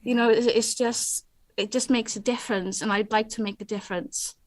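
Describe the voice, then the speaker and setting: A woman's voice: a little high, fairly even intonation, moderate pace, no room sound. Woman, hesitant, with many ums. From Netherlands. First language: Dutch. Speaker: award winner, digital skills trainer. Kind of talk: onstage interview.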